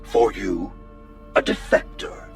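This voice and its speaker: An actor playing a cold, imperious alien commander in a radio drama, stern and menacing. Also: male voice, fairly low pitch, slow, faint music behind. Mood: stern condemnation.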